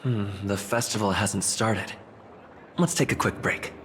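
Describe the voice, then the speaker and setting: An actor playing a calm, considerate young traveller in a video game, with an easygoing delivery. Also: male voice, fairly low pitch, measured pace, a little hiss or hum.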